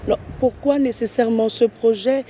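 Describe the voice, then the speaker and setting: Female TV host, courteous formal delivery, warm low-key tone. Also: fairly even intonation, normal speed, slight background noise. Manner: polite, formal questioning. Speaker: TV interviewer. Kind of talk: formal broadcast interview.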